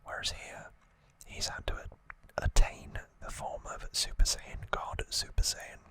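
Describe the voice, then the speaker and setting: A man hosting ASMR, explaining trivia in a soft unvoiced whisper with an informal, halting delivery that often corrects itself. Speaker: ASMR host.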